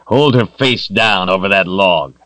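Man voicing a cruel demon commander, low growl, hissing, deep and quiet, dry sound. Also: unhurried, no echo. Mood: menacing. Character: brutal male demon commander, cruel and menacing.

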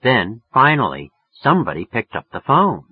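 Man, husky voice, lively swinging delivery, confiding and slangy, dull lo-fi recording. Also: mid-range voice, normal speed, no echo. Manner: confiding, slangy, wry storytelling. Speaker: audiobook narrator. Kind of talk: first-person novel narration.

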